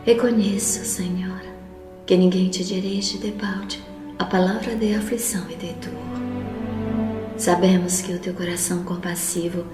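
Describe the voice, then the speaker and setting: A woman's voice: fairly low pitch, steady tone, measured pace, lightly acted. A woman playing a humble, grieving wife in an audio drama, praying in a solemn, pleading manner. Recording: echoey room with loud music bed. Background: prominent background music.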